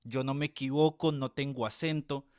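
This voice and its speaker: A man with a Colombian Spanish accent answers interview questions carefully, laying out his points one by one and giving examples. His voice sits at an ordinary middle pitch. The recording is dry and close, with almost no room sound. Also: steady tone, darkish tone, clean tone, dry recording. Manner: measured, explains with examples and tag questions. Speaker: tutor and music teacher being interviewed. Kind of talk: thoughtful explanatory interview answers.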